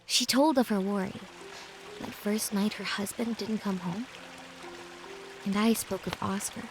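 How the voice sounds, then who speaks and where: Young woman played by an actress, wistful student, first-person game storytelling, reflective delivery, middle pitch, clear music behind. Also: lightly acted. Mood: warm, reflective, then sad, hushed.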